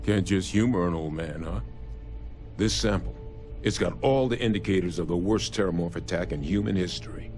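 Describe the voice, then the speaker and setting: Game role: older male scientist man acting, low voice, wry, wary, muttering, over prominent music. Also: normal speed, close to an everyday voice. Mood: grave. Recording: loud music under the voice.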